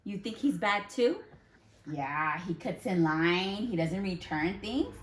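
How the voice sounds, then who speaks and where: Slow, expressive woman teacher in a roomy space. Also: mid-range voice, a little hiss or hum. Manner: expressive, questioning, exaggerated for children. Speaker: elementary school teacher. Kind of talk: interactive read-aloud for children.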